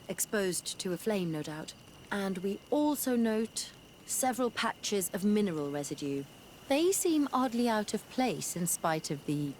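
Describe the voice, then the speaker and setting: An actress playing a scholarly, detached physician in a video game, formal and exact in speech. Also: female voice, fairly low pitch, darkish tone, slight background noise. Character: clinical, scholarly female physician, detached and precise. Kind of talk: video game cutscene acting.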